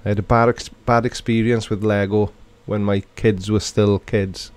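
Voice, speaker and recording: A man's voice: fairly low pitch, little pitch movement, moderate pace, smooth. A middle-aged man from Wales with a Welsh accent, casually chatting and reading viewer comments aloud on a livestream. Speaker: livestream host.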